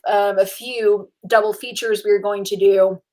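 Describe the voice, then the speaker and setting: A woman's voice: medium pitch, steady tone, normal speed, darkish tone, poor audio. A woman hosting a casual movie podcast, chatty and a little tired, with frequent filler words and laughs. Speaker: podcast host. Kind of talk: casual chat.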